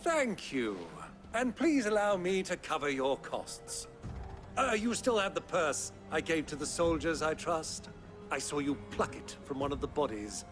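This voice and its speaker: A man's voice: fairly high pitch, expressive, measured pace, music in the background. A man playing a blustering, pompous older nobleman in a video game cutscene, speaking with huffy politeness.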